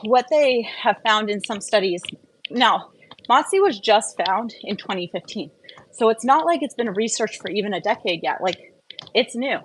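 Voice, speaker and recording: A woman's voice: medium pitch, lively intonation, normal speed, slight background noise, rough recording. A woman chatting casually and candidly in a personal vlog, opinionated and prone to rambling off on tangents. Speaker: wellness vlogger.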